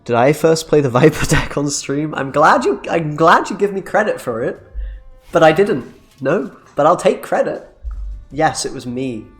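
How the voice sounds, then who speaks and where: A man's voice: on the high side, sing-song, moderate pace, faint music behind. A young man streaming a card game, chatting casually and joking as he reacts aloud to each play. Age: young adult. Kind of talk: casual gameplay commentary. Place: small room indoors.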